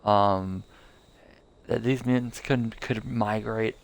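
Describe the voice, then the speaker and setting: A man's voice: on the low side, steady tone, takes it slowly, creaky. A young man streaming a game live, rambling casually with lots of fillers and restarts. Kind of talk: casual live gameplay commentary.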